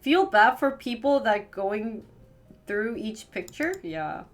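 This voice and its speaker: A woman with a Wisconsin accent talks casually to her viewers on a live stream, tossing quick questions back at them. Her voice sits a bit high and swings up and down a lot. Soft music plays faintly behind her. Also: lively intonation. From United States. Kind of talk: casual chat answering viewers.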